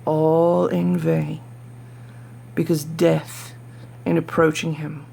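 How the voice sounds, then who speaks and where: A performer voicing a nervous, obsessive mad murderer in a dramatic gothic horror reading, with breathy whispering and halting, theatrical emphasis. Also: mid-range voice, level tone, dark tone, heavily acted. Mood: dark, intense.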